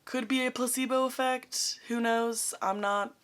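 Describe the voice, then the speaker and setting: A young woman with a raspy voice, chatting through a how-to vlog in an upbeat, self-mocking way. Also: a little high, fairly even intonation, measured pace, a bit bright. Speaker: vlogger.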